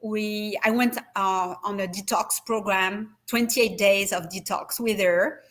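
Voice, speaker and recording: A woman's voice: medium pitch, steady tone, slow. A woman with a French accent, a guest talking in a casual interview over a video call, hesitant with many fillers and restarts. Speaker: guest, wellness retreat founder.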